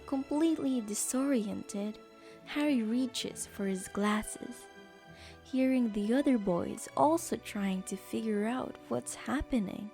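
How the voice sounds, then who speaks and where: Female storyteller, highish soft-toned voice, unhurried narration over faint music.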